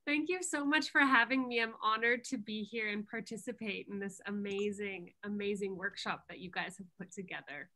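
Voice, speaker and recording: A woman's voice: medium pitch, pushed and bright. A woman with a Canadian accent, a pronunciation coach giving an encouraging online workshop lesson over a video call. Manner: encouraging, unhurried teacher.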